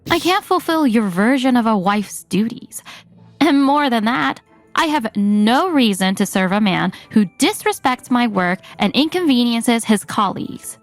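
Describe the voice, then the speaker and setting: A woman's voice: on the high side, lively intonation, measured pace, no room sound, soft background music. A woman playing a cool, composed wife. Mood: cold. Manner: cool, composed, quietly cutting. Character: calm confident wife leaving her husband. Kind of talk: manga dub voice acting.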